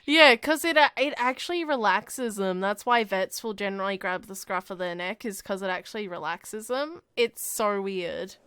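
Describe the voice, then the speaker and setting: A woman's voice: mid-range voice, normal speed, hardly any pauses, a bit bright, smooth, no echo, studio quality. A woman co-hosting a casual gaming podcast, chatting and giggling often, with lots of "like" fillers. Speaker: podcast co-host. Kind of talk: casual chat.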